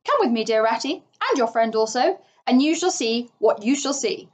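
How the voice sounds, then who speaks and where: A woman's voice: on the high side, animated, normal speed, close to an everyday voice. A woman voicing a boastful, impulsive male toad in a children's story, gushing and exclaiming grandly.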